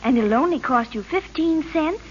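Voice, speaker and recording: A woman's voice: high voice, expressive, normal speed. An actress playing a pleased, confiding woman in a scripted 1940s radio commercial. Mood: confiding, selling. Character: pleased, confiding woman showing off to her friend. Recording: dull, hissy old radio recording.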